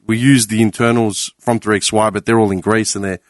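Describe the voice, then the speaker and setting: A man's voice: lowish voice, fairly even intonation, a little fast, no echo, studio quality. A man with an Australian accent telling a story on a casual podcast, swearing and acting out what others said. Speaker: podcast panelist. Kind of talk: casual chat.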